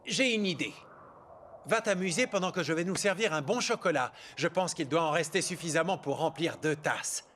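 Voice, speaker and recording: A kindly, mild cartoon guardian, acted by a man, with a fairly high male voice. He talks in an easygoing, caring way. A little noise lies in the background. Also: normal speed, fairly bright tone, natural voice. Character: kindly, mild, slightly tired grown man, a caretaker. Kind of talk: French-dubbed children's cartoon acting.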